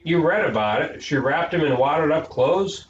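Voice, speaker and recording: A man's voice: fairly high pitch, expressive, normal speed, voice pushed up, natural voice. A man playing a blunt, curious, rowdy schoolboy in a storybook reading, questioning everything. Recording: echoey room, distant mic, noisy, dull.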